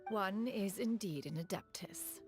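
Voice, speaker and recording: A proud immortal crane spirit in a video game. Her mid-pitched voice moves in wide, lofty sweeps, formal and pompous, with archaic phrasing. Faint music sits behind. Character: proud, aloof immortal crane adeptus woman, archaic speech. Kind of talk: scripted video game dialogue.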